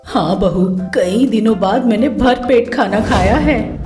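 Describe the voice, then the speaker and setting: Comic story role: a woman as scheming, food-loving mother-in-law, fairly high voice, bossy and gloating. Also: a little slow, a little mellow, echoey room, soft background music, natural voice. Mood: satisfied. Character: gluttonous, scheming middle-aged village mother-in-law.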